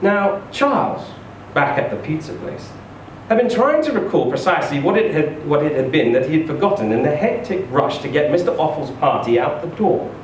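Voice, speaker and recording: Man, live literary reading, theatrical delivery, very lively pitch, distant mic in a very echoey room. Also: moderate pace, few pauses, slight background noise. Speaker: reader of a short story.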